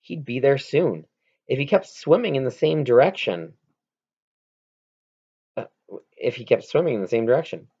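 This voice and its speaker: A man reading a children's novel aloud as narration, in an expressive, amateur read-aloud manner. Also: medium pitch, moderate pace, pauses often, husky, highs cut off. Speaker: audiobook reader.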